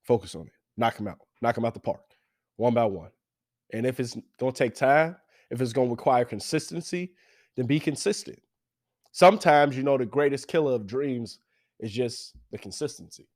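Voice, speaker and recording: A man's voice: medium pitch, normal speed, darkish tone. A young man with a stuffy, congested voice hosts a motivational podcast, talking with lots of energy and repeating his phrases like a preacher. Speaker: podcast host.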